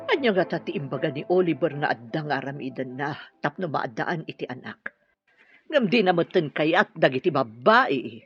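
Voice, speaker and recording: An actress playing an exasperated, skeptical mistress of a household in an Ilocano radio drama, husky and grumbling, with an Ilocano accent. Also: female voice, deep voice, lively intonation, moderate pace, muffled recording, faint music behind.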